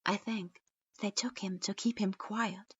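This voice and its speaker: A woman voicing a grim, earnest boy ninja who speaks gravely and with determination. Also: medium pitch, fairly even intonation, unhurried, fairly bright tone. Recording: dull lo-fi recording.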